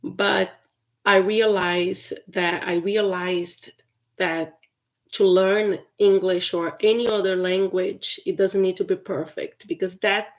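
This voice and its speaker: A woman's voice: medium pitch, fairly even intonation, slow pace. A woman from Brazil whose first language is Portuguese, teaching English in a chatty live stream with many fillers and restarts. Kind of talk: casual livestream lesson.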